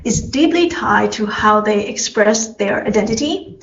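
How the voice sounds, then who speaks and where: A woman from Thailand with a Thai accent gives a formal, prepared academic presentation, measured and addressing an audience step by step. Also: mid-range voice, animated. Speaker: conference presenter. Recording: roomy echo, slightly dull sound.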